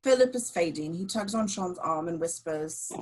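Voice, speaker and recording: A woman's voice: lowish voice, expressive, moderate pace, slight background noise. A woman giving scripted narration, reading out the action lines of a film screenplay at a table read.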